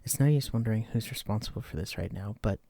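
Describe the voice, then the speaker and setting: A man's voice: fairly low pitch, fast talker, vocal fry, warm tone, dry recording. A man playing a wary, protective psychic escapee in an immersive audio drama, speaking directly to a companion. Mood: wary, somber. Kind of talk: immersive roleplay audio drama, addressing the listener.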